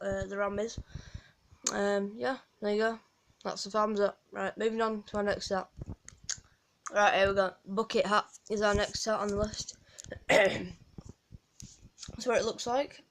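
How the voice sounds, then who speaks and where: A woman with a Yorkshire accent gives a rambling, casual walkthrough of a game from home, full of ums and restarts. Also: medium pitch. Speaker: gaming guide creator.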